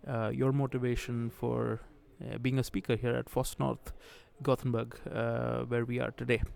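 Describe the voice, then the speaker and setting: A man's voice: medium pitch, flat delivery, moderate pace, vocal fry, darkish tone. A man interviewing a conference speaker, hesitant with many fillers.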